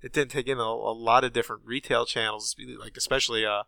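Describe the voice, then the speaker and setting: A man's voice: medium pitch, fairly even intonation, fast talker, creaky, pushed and bright, no echo. A man co-hosting a gaming podcast, reading news in a chatty way with many fillers, sounding stuffy from a sinus infection. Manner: chatty, many ums and uhs. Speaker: podcast co-host. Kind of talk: casual chat, reading news.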